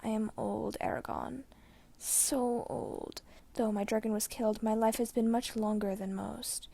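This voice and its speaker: A woman voicing a frail, wise old man on his deathbed, speaking weakly and breathily with halting phrases. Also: on the high side, steady tone, mellow. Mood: weary grief. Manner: weak, breathy, halting speech. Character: frail dying old man, wise, grieving former warrior.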